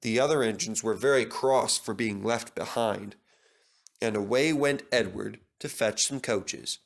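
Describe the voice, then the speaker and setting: A man's voice: fairly low pitch, measured pace, vocal fry. A man reading a children's story aloud as a scripted narrator.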